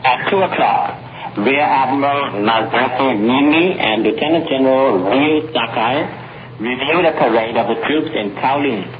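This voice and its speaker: A man reading a scripted 1940s radio news bulletin in a formal, measured announcer's delivery. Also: medium pitch, over a noisy crowd. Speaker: radio newsreader. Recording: noisy, dull 1940s shortwave radio recording.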